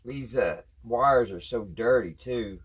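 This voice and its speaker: Husky, folksy Southern US man. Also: mid-range voice, takes it slowly. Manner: folksy, thinking aloud as he works. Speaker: host of a radio repair video. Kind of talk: casual hands-on tutorial chat. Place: at a workbench.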